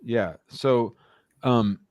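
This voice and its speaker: A man's voice: mid-range voice, extremely slow, a little mellow, no echo. A man hosting a live call-in show as an immigration lawyer, talking in a chatty, rambling way and correcting himself as he goes. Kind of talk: casual live-stream talk show.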